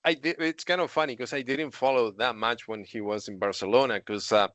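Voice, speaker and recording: Argentine man with a smooth, dry voice. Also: medium pitch, steady tone, fairly quick, a bit bright, dry recording. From Argentina, Patagonia. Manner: laughs often while telling stories, many fillers. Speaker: podcast guest, IT manager. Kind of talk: casual interview chat.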